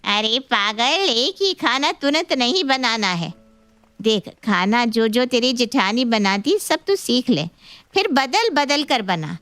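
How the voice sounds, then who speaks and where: A woman's voice: on the high side, animated, slow, fairly bright tone, smooth, dry recording, faint music behind. A woman playing a bossy, scolding mother who coaches her grown daughter in a story. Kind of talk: animated story dialogue.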